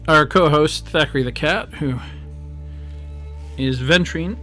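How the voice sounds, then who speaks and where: A man streaming a strategy game live, talking casually and rambling with many fillers, his voice weak and strained. He speaks into a boom microphone at his gaming desk at home. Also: measured pace, sharp tone, soft background music. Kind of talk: casual live-stream commentary while playing. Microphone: desk microphone on a boom arm.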